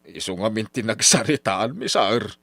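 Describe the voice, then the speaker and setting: Deep-voiced scheming older father, radio drama. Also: sing-song, moderate pace, vocal fry, bright, forceful tone, no echo, full character voice. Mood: pleading, deferential. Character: ambitious, scheming older father, pushy and insistent. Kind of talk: old radio drama acting.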